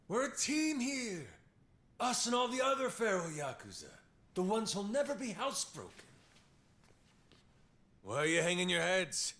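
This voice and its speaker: An actor playing a roguish, cocky yakuza pirate captain in a video game, with a gravelly voice and slangy, swaggering talk. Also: male voice, high voice, a bit bright, full character voice. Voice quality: gravelly, creaky. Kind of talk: dramatic video game cutscene acting.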